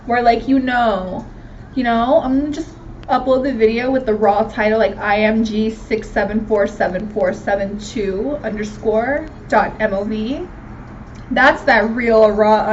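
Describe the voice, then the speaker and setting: High-voiced young American woman, candid and slangy. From Miami, Florida. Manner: candid, slangy, swearing, self-mocking. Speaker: video host answering viewer questions. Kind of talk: casual chatty Q&A vlog. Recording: dull lo-fi sound with background noise.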